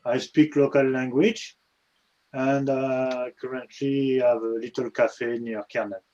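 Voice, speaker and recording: A man's voice: mid-range voice, flat delivery, slow, mellow, lo-fi sound. A man in his forties introducing himself plainly on a video call. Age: 40s. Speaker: discussion participant. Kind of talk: casual self-introduction.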